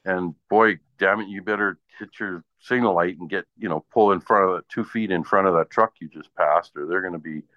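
A man's voice: low voice, brisk, hardly any pauses. A man with a Canadian accent chatting casually in blunt, profane, exasperated anecdotes. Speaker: radio presenter. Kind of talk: casual chat, ranting anecdotes. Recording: dull lo-fi audio in echoey room.

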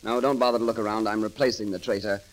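An actor playing a witty, bold and romantic grand constable in an eloquent, theatrical 1930s radio style. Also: male voice, fairly low pitch, fairly even intonation, fast talker, natural voice, low-quality recording. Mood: defiant, commanding.

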